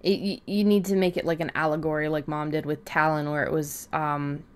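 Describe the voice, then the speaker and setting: A woman's voice: deep voice, level tone, a little fast. A woman co-hosting a casual, chatty conversation about writing fiction, talking in an informal, offhand way.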